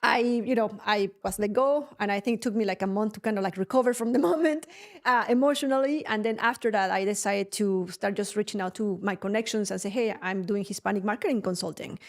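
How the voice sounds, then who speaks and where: Animated Spanish-native woman telling stories. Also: medium pitch, a little fast. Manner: animated storytelling, often laughing, frequent "right?" tags. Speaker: guest, production company CEO. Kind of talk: casual podcast interview.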